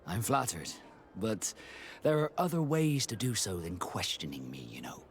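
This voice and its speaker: A reserved, formal sea captain. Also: male voice, lowish voice, huge pitch swings, normal speed, a little hiss or hum. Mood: measured. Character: proud, reserved young male ship captain. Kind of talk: video game dialogue acting.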